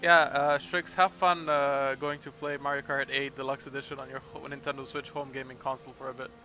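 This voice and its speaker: A man's voice: medium pitch, flat delivery, brisk, sharp tone, faint music behind. A man commentating a sim-racing livestream in casual chat, laughing often and trailing off with fillers. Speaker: race commentator.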